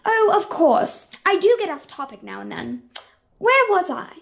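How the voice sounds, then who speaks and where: A woman's voice: very high-pitched, big pitch swings, normal speed, gravelly, full character voice. A young girl acting in a fantasy radio serial as a theatrical storyteller and kindly character. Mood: storytelling. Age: child, 10 to 13. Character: grand fantasy storyteller who also plays kindly tavern keeper. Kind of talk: scripted narration, radio serial.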